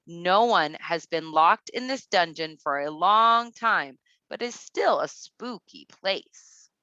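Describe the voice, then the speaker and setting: A woman teacher reading aloud to kindergartners, speaking deliberately and instructively, with asides addressed directly to the children. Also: on the low side, expressive, sharp tone, no room sound, dull audio.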